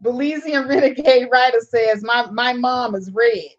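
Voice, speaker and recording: A woman's voice: fairly high pitch, steady tone, unhurried, highs cut off. A woman hosting a casual live stream. She reads viewer comments aloud, answers them, and laughs often. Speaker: channel host reading live chat.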